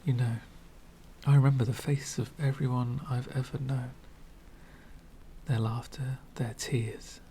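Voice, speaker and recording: A man playing a lonely, courteous, centuries-old vampire in an ASMR roleplay, speaking formally and wistfully in soft, breathy whispers. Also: mid-range voice, a little hiss or hum. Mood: melancholy, wistful. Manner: formal, wistful, slow and deliberate.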